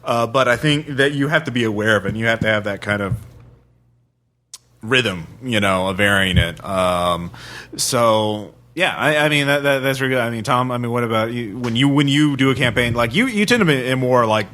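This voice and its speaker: Bright-toned man hosting a casual discussion. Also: mid-range voice, moderate pace. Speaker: podcast host.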